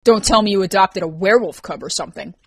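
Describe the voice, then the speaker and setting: A woman's voice: medium pitch, fast talker, no room sound, close to an everyday voice. A woman voicing a glum, blunt young woman character in a story narration. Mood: probing, blunt.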